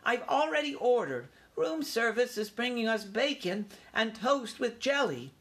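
A man's voice: extremely high pitch, lively intonation, normal speed, pushed and bright, pitched above the performer's own voice, heavily acted. A man voicing a young boy mouse in a storybook, eager and a little grand. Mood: grand, proud.

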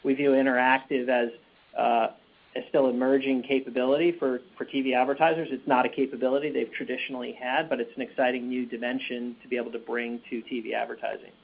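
A man giving measured corporate answers as a company executive on an earnings call. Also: mid-range voice, flat delivery, creaky. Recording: muffled phone conference line.

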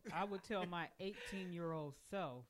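A woman's voice: lowish voice, a little slow, a little hiss or hum. Chatty woman in her forties. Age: 40s. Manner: warm storytelling, many "you know" fillers. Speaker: podcast guest. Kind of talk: casual group conversation.

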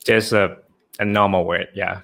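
Male guest, Thai accent, non-native English, halting and word-searching. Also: deep voice, fairly even intonation, vocal fry, fairly bright tone. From Thailand. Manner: searching for words, hesitant, often says "how to say". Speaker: Thai teacher and translator, guest. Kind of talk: casual podcast chat.

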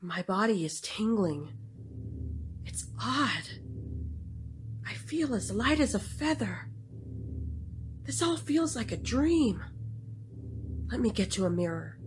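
Audio play heroine, acted by a woman: awestruck, wondering aloud, rather slow with wide pitch movement, music behind. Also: very animated, slight background noise. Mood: awestruck, dazed. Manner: wondering, self-narrating. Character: awestruck woman undergoing a strange transformation. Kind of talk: amateur audio drama monologue.

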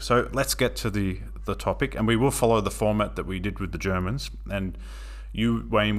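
A man's voice: low voice, fairly bright tone. A man hosting an interview, asking polite, structured questions. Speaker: podcast host. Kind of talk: interview conversation.

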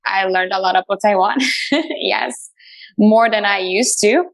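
Woman guest from Latin America, gravelly chatty delivery full of fillers. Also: medium pitch, measured pace. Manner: chatty, many fillers and restarts, laughs often. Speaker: radio show host, guest. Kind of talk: casual interview answers.

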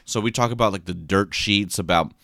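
A man's voice: on the low side, brisk, sharp tone, no room sound, clean studio sound. A man co-hosting a podcast in casual chat, sleepy after a short night, rambling with many restarts and repeated words. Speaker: podcast co-host. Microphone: podcast mic.

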